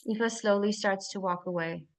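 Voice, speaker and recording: A woman's voice: mid-range voice, steady tone, moderate pace. A woman reading scene directions as narrator at a live screenplay table read.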